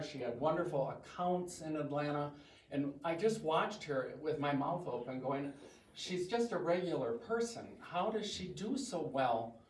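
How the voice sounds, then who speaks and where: An older man from the Midwest, with a big voice that sits a bit high, speaks to a church congregation in a chatty, self-mocking way. His words ring around an echoey hall, and he sounds some way off the mic, with faint background hum. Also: distant mic. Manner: chatty and self-joking, with animated quoting. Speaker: guest speaker. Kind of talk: storytelling talk to a congregation.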